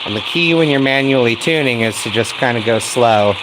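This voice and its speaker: American guy casually narrating his radio tuning, chill, really loud static and noise behind him. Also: mid-range voice, brisk. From United States, Colorado. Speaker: shortwave radio hobbyist host. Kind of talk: casual running commentary while tuning a radio. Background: shortwave static, tones and data signals.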